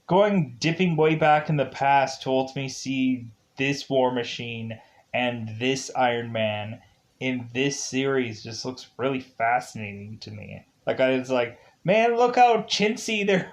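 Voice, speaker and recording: A man talks through a review in a casual, unscripted ramble. His voice sits at a middling pitch. He halts often and repeats himself, circling back over phrases before moving on.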